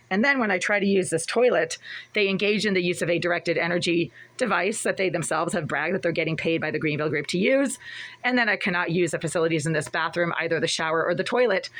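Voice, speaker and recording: Raspy, insistent woman with a lowish voice. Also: a bit bright. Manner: insistent, accusatory and repetitive. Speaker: vlogger. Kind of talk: rambling monologue to camera. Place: apartment bathroom.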